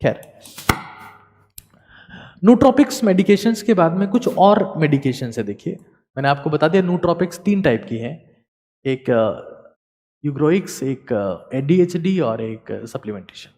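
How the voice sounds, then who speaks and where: A man giving a motivational study lecture as a teacher, emphatic and repeating key words, swinging between loud and soft. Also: on the high side, animated, unhurried, long pauses, in a large hall.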